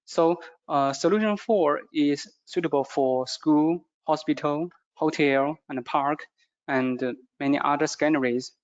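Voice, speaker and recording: Halting man presenter, non-native English, muffled audio. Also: fairly high pitch. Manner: halting, repetitive phrasing with stumbles. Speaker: product presenter. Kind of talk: product training presentation.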